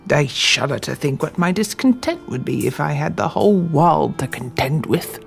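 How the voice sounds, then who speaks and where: A woman voicing an old, world-weary captive cockatoo who muses slowly and poetically in a radio play. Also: sits low, expressive, creaky, faint music behind. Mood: dreamy, melancholy. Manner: slow, poetic, musing.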